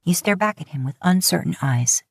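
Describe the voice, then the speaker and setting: Casting: female audiobook-style narrator, low register, dry close sound, scripted fan fiction. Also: lively intonation, brisk, gravelly, slightly rough, dry recording. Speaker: podfic narrator.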